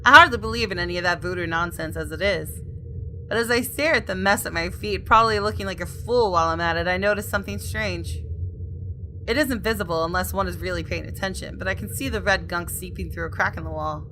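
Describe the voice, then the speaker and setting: A woman gaming host reading visual novel narration aloud in an expressive, storytelling way with casual asides. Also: on the low side, fast, slight background noise, poor audio.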